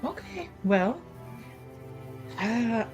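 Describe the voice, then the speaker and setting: A woman's voice: on the high side, sing-song, extremely slow, a little mellow. A woman in casual table talk as a player in a tabletop roleplaying game. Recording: faint music behind.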